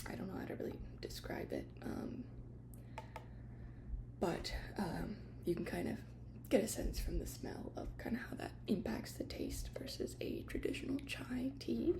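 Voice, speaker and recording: A woman doing an ASMR shop roleplay as an attendant, whispering gentle explanations in a hesitant, unscripted way. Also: mid-range voice, expressive, normal speed. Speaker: ASMR creator playing a tea shop attendant.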